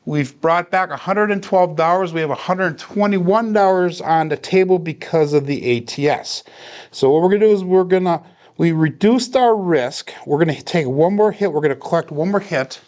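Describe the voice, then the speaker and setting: A man talks viewers through a dice practice session in an unhurried, steady way. His voice sits fairly high for a man and has a clean, smooth tone. He sounds relaxed and easy to listen to in the background. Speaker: craps practice live streamer. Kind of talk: casual play-by-play livestream narration.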